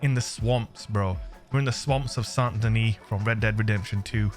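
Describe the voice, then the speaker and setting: Chatty English gaming host, slightly ill. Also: male voice, on the low side, steady tone, normal speed, faint music behind. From England. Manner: chatty, jokey, slangy, addresses viewers as friends. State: a little ill, under the weather. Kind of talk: gameplay commentary.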